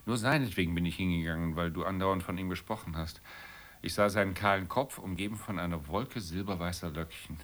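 A man's voice: sits low, fairly quick, fairly bright tone. An actor playing a weary, middle-aged middle-class man who grumbles and muses wryly in a naturalistic radio drama. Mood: wry reminiscence.

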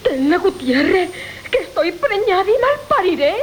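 An actress playing a scolding, manipulative young wife in a classical comic radio play, theatrical and wailing. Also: female voice, very high-pitched, sing-song, moderate pace. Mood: whiny wailing. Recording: hissy old radio recording.

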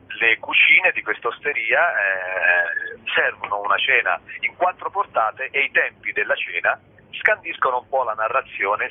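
A man speaking as an interview guest, explaining at length in a discursive way and pausing to search for words. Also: on the low side. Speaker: theatre director, interview guest. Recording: narrow tinny phone line, clear background noise.

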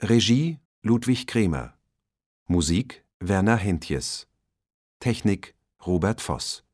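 A male radio announcer speaks in a low voice. His reading is slow, formal and measured, in the manner of 1940s broadcasting. The sound is dry. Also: expressive, darkish tone, dry recording.

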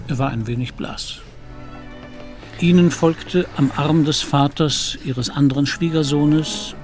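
The narrator of a literary radio play. He has a mid-range voice and a very slow, grave, deliberate delivery. Music plays clearly behind him. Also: medium pitch. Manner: measured, deliberate. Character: grave, unhurried storyteller of a literary tale.

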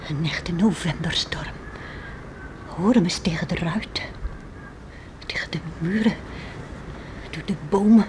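An actress playing an anxious, tender and pious farmer's wife and mother in a radio play, musing in hushed, emotive tones. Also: mid-range voice, natural voice. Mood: anxious, awed. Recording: old radio play with faint noise.

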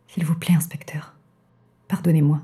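A woman's voice: deep voice, little pitch movement, normal speed, warm tone, close to an everyday voice. An actress playing an inspector's watchful, devoted female assistant, narrating a noir audio drama with solemn, introspective restraint. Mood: sorrowful.

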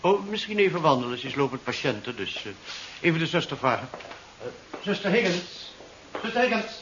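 An actor playing a polite, puzzled hospital doctor in a seventies radio drama, speaking formally. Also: male voice, a little high, big pitch swings, a bit bright. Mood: flustered. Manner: formal, measured, courteous. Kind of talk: 1970s Dutch radio drama acting. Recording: muffled, faintly hissy old radio recording.

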